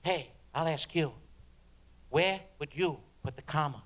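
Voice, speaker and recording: A man's voice: a little high, a little slow, fairly bright tone. A man playing a fervent beat writer, delivering a dramatic, emphatic spoken-word monologue about his craft. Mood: reflective. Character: fervent beat-generation male writer reminiscing and preaching on writing.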